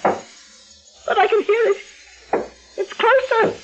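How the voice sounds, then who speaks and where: High-voiced, frightened old aunt. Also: female voice, fairly even intonation, slow. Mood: anxious, commanding. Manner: agitated, pleading, dramatic. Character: frightened, guilt-ridden older aunt hiding a murder. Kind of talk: 1940s radio melodrama acting. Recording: dull vintage radio recording, music.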